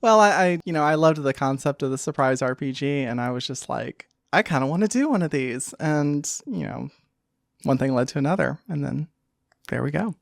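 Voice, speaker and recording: A woman's voice: deep voice, lively intonation, normal speed, vocal fry, no room sound, clean studio sound. Woman game master chatting casually. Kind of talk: casual podcast discussion.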